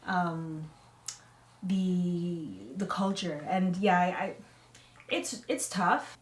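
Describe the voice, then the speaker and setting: A woman's voice: lowish voice, very slow. A young woman co-hosting a family vlog, chatting candidly and laughing easily. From Canada, Toronto. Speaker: vlog co-host, new mother. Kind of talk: casual sit-down chat. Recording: echoey room, mic at a distance.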